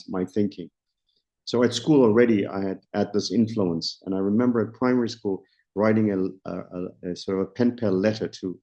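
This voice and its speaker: Low, mellow South African man around sixty. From Johannesburg, South Africa. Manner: measured, searching for words, many restarts. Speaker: interview guest, company founder. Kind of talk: reflective interview answers. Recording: dull lo-fi recording.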